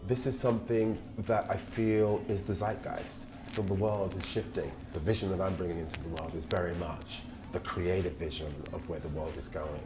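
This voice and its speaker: Male film-maker, deep register, declarative promotional delivery over a prominent music bed. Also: steady tone, normal speed, few pauses, low-quality recording. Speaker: writer and actor presenting own film project. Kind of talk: promotional talk to camera. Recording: music under the voice. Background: loud background music.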